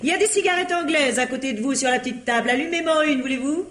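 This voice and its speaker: A society woman with a notably high voice in a radio mystery. She is coquettish and sure of herself, teasing and insisting in polished, imperious phrases. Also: fast talker, a bit bright, a little hiss or hum. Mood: bossy, inviting. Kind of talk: French mystery radio drama acting.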